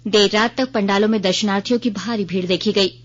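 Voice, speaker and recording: A woman's voice: medium pitch, fairly even intonation, quick pace. A woman reads a formal scripted regional news bulletin on the radio as the newsreader. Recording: dull lo-fi radio broadcast.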